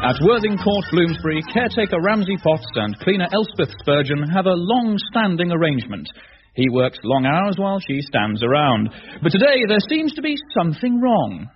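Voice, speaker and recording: A man giving a measured, formal scripted introduction to a radio sitcom. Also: high-pitched, very animated. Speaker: announcer. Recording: dull old radio recording with music.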